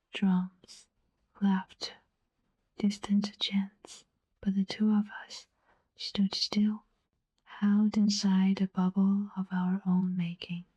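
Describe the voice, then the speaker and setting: Female narrator for an intimate story: unhurried whisper, solemn and wistful, flat melody, slightly muffled lo-fi sound. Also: medium pitch, breathy edge. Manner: slow, hushed, solemn delivery with deliberate pauses. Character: female ancient Greek poet telling of forbidden love, tender, wistful. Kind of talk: whispered first-person literary narration, ASMR-like.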